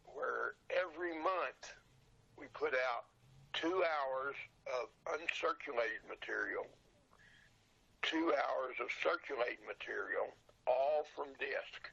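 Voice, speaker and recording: An older man chatting casually as an interview guest, repeating phrases for emphasis, with a gravelly, husky voice on a lo-fi connection. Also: medium pitch, slow, pushed and bright. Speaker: podcast guest, old radio show dealer. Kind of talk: casual chat.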